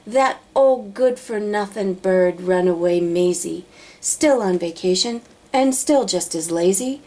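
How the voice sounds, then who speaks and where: A woman narrating a children's storybook aloud, expressively, with dramatic drawn-out emphasis. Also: mid-range voice, dark tone, rough recording. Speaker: storybook reader.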